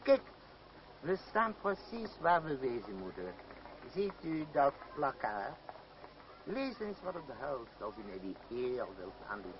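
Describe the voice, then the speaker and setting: A man's voice: medium pitch, very animated, a little slow. An actor playing a wily, middle-aged boss of a theatre troupe in an old radio play, pompous and unctuous, hemming as he schemes. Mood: sly, pressing. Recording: dull, noisy old radio recording.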